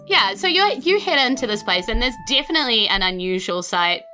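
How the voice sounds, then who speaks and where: A woman's voice: on the high side, expressive, a little fast, pushed and bright, faint music behind. A woman, narrating a tabletop game as game master in an informal, conversational way with casual asides.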